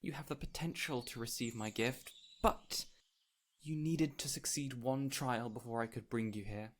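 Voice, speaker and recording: A man playing an ancient, whimsical fey spirit in an audio roleplay, speaking in a gentle, courtly, theatrical way with lingering pauses. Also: medium pitch, steady tone, close to an everyday voice. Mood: earnest, warm. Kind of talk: audio roleplay addressed to the listener.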